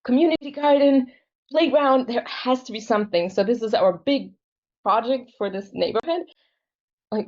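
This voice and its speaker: A woman's voice: medium pitch, a little mellow. A young woman shares a personal story in a casual, conversational way. Her voice comes through a video call with a slightly muffled, lo-fi sound. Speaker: program participant telling her story.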